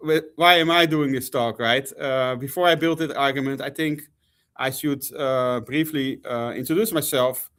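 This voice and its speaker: A man's voice: mid-range voice, fairly bright tone. A middle-aged man from the Netherlands with a Dutch accent, giving a conference presentation with many fillers and restarts. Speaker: conference speaker. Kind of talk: presentation talk.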